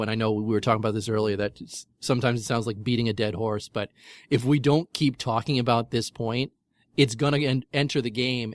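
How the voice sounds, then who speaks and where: A male podcast co-host with a mid-pitched voice in a very dry recording. His talk is casual banter with a teasing edge. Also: no echo.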